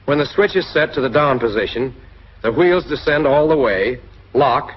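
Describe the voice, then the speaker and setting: A man's voice: medium pitch, expressive, normal speed, noisy background. An American man reads scripted narration for a 1940s military training film, addressing the listener directly in an instructive way. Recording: muffled, husky-sounding 1940s film soundtrack.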